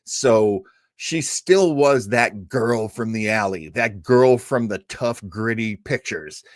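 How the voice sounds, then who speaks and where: A man hosts a vintage radio show in a casual, theatrical way. His pitch moves around a lot, full of animated emphasis and joking asides, and the sound is close and dry. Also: no echo. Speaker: show host. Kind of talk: casual live commentary introducing old radio.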